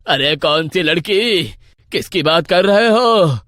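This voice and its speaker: A man's voice: high-pitched, animated, slow pace, a bit bright, no room sound, studio quality, exaggerated voice. A man playing a timid, anxious villager in a cartoon horror story, with a fretful, pleading manner. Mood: terrified. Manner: fretful, pleading, stammering with fright.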